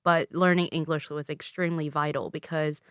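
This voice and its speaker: A woman in her twenties, raised in the American South with Vietnamese as her first language, explaining her family story calmly and steadily in an interview. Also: low-pitched, normal speed, clean tone, no room sound, clean studio sound. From Louisville, Kentucky, USA. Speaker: interview guest. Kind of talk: conversational interview.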